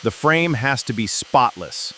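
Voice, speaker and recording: A man's voice: medium pitch, animated, a little slow, sharp tone. Man narrating a workshop video over noise. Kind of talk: scripted narration.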